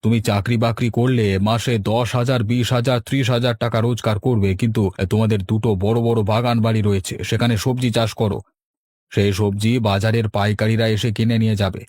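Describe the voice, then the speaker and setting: A man's voice: fairly low pitch, flat delivery, brisk, darkish tone, no room sound, clean studio sound, close to an everyday voice. A man playing an earnest middle-aged village uncle in a cartoon, explaining things in a persuasive, avuncular way. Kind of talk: Bengali cartoon dubbing.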